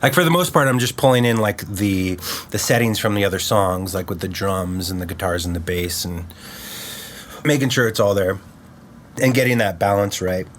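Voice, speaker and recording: Male podcast guest, congested and easygoing, lowish voice, slight background noise. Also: moderate pace. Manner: easygoing, short replies. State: congested, stuffed up. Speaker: guest music producer. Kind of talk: casual chat.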